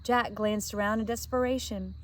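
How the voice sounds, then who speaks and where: A woman narrating a children's story aloud in an expressive read-aloud style, like a teacher. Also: mid-range voice, moderate pace, slight background noise.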